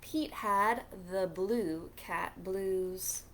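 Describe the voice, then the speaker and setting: A woman hosting a children's storytime, reading a picture book aloud in a deliberate, expressive way. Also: mid-range voice, slight background noise. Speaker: children's librarian storytime host.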